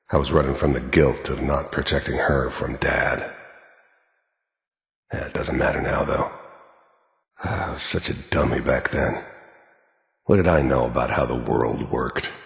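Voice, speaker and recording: Very deep, gravelly, weary hired killer. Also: male voice, heavily acted. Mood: regretful. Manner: world-weary, terse, brooding, plain-spoken with tough-guy drawl. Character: weary, hardened middle-aged mercenary killer, bitter and cynical. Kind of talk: video game character monologue. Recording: echoey with long reverb tail.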